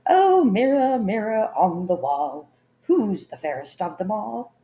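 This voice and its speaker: A woman voicing a spoiled, vain and cruel queen who yells shrill threats. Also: animated, normal speed, creaky, echoey room, distant mic. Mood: demanding.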